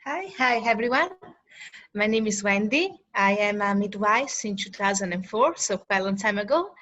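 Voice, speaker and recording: A woman in her forties from Italy with an Italian accent, a midwife talking earnestly on a panel in halting English and laughing often. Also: medium pitch, animated, a bit bright, lo-fi sound, a little hiss or hum. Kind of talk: casual panel chat.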